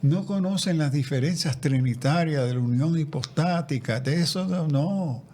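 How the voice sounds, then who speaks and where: A man's voice: on the high side, normal speed, dark tone. A man speaking as a guest theologian in a conversational interview, reflective, often restarting and repeating words. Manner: reflective, with frequent restarts and repetitions.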